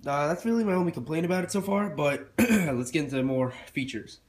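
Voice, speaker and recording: A man's voice: fairly high pitch, expressive, a little fast. Young man, stuffy and sick-sounding, casual tech review, rambling, lots of ums. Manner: rambling with frequent fillers and restarts. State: stuffy from a cold.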